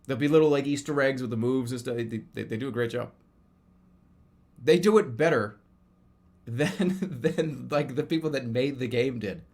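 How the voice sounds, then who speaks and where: A man's voice: mid-range voice, moderate pace, darkish tone. A man in his thirties chatting casually as a live streamer, rambling and often restarting or repeating his phrases. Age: 30s. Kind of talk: casual livestream chat commentary.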